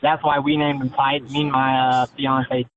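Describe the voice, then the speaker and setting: A man's voice: medium pitch, flat delivery, moderate pace. Hesitant male caller on a tinny phone. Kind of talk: halting phone conversation. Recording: thin, narrow-band phone line.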